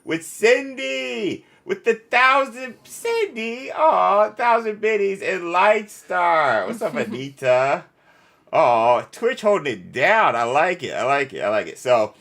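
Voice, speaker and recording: A man's voice: high-pitched, big pitch swings, takes it slowly, gravelly, few pauses, fairly bright tone. A man hosting a livestream, chatting casually and playfully with viewers in informal slang. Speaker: live stream host.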